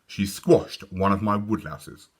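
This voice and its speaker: A stubborn, solemn little girl from a storybook, voiced by a man. The lines are short and blunt, pitched low and spoken matter-of-factly. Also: unhurried. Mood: curt, stubborn. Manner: curt, flat, matter-of-fact.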